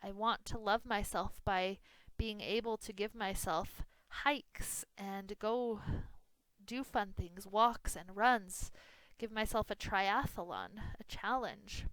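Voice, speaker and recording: A woman teaching guided meditation, speaking soothingly in unhurried phrases with pauses. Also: mid-range voice, a bit bright, no room sound, low-quality recording. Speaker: yoga nidra meditation teacher.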